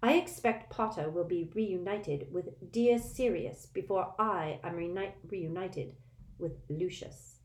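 A haughty aristocratic witch from a storybook, icy and contemptuous in every word. She speaks with measured, chilling calm, as a woman reader acts her out. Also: fairly low pitch, rough recording. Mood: cold. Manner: cold, contemptuous.

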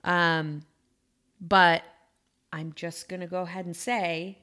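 Podcast co-host, woman, 30s, lower register, easygoing rambling delivery. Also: steady tone, slow, bright, forceful tone. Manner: musing, frequent fillers and self-corrections. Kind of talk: casual chat.

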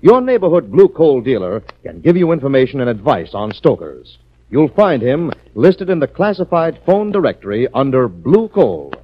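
A man's voice: medium pitch, wildly swooping pitch, normal speed, a little hiss or hum. A man working as announcer and narrator, reading scripted copy in an emphatic, formal 1940s radio style. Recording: muffled 1940s radio recording.

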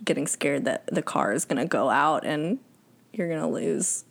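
A woman's voice: low voice, animated, normal speed, vocal fry. A young American woman chatting casually and playfully as a podcast co-host. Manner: giggly, playful, informal. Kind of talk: casual chat. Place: home studio in a bedroom.

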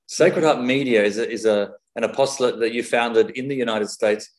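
A man with an Australian accent hosts a conversational interview. His voice is medium in pitch. He speaks as a host putting questions to a guest. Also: brisk, low-quality recording.

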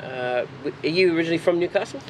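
A man's voice: a little high, very fast, darkish tone, a little hiss or hum. A man, interviewing in a casual, laid-back way with brief remarks and questions. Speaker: interviewer, host.

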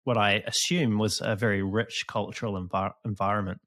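A man interviewing a guest, asking curious, gently probing questions. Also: on the low side, steady tone, brisk. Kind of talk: conversational interview.

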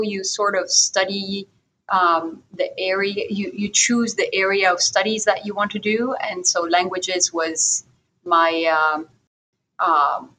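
A woman's voice: medium pitch, sharp tone, a little hiss or hum, rough recording. A native French-speaking woman from France with a French accent, talking casually as an interview guest.

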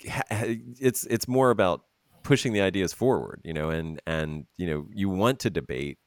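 A man's voice: low-pitched, normal speed, no echo, clean studio sound. A man hosting an interview podcast, chatting casually, teasing his guest and laughing often. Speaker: podcast host.

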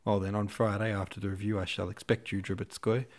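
A man reading the part of an aloof young aristocratic officer, speaking with cool, quietly contemptuous irony. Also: fairly low pitch, level tone, fast, close to an everyday voice. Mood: cool authority.

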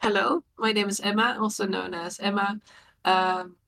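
Dutch-born woman, mid-pitched, dry self-aware humour, casual chat. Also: fairly even intonation, moderate pace, creaky, a bit bright. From the Netherlands. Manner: wry, self-aware, laughing. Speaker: writer and player.

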